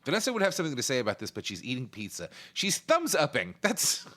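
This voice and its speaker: A man's voice: mid-range voice, huge pitch swings, quick pace, a bit bright. A man co-hosting a gaming podcast, joking around with a dry, sarcastic edge. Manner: jokey, sarcastic. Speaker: podcast co-host. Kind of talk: casual chat.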